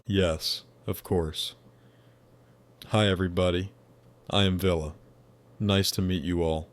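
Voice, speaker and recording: A man gives voice to a wise angelic being from a higher dimension, a male spirit who talks in a measured, formal way. His voice sits very low. He asks his questions with gentle curiosity. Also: steady tone. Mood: calm, friendly. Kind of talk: scripted dialogue.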